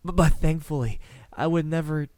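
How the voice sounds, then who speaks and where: A man playing a courteous, lovestruck vampire in an intimate ASMR roleplay, speaking softly in formal words with hesitant pauses. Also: on the high side, no echo, lightly acted. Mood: earnest, flustered. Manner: hesitant, soft-spoken, formal wording with lingering pauses.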